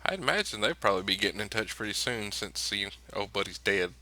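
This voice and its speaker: A man's voice: on the low side, lively intonation, quick pace, pushed and bright. A man talking casually as a player in a tabletop role-playing game.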